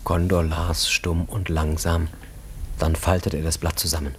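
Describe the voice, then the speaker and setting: A man reading literary, scripted narration for a radio drama in a husky voice. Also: extremely low pitch, level tone, a little slow, a little hiss or hum.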